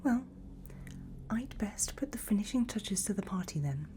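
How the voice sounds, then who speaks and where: A woman playing an elegant, macabre gothic hostess in a whispered ASMR roleplay, gracious and formal in manner, with a breathy voice. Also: medium pitch, lively intonation, normal speed, warm tone, slight background noise, close to an everyday voice. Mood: welcoming, soothing. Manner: languid, formal, genteel.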